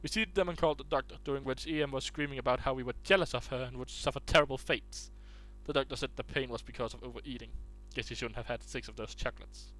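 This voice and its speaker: A man's voice: mid-range voice, fast, hardly any pauses, a bit bright, rough recording. Casual man reading online stories aloud. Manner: restarts and stumbles while reading aloud. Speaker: reader of online posts.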